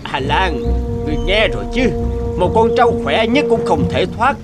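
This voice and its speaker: A man's voice: high voice, sing-song, moderate pace, over loud music. A man voicing an arrogant, scheming older landlord in a folktale cartoon, mocking and threatening. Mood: menacing. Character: arrogant, scheming rich older male landlord, menacing father. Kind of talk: dubbed folktale cartoon acting.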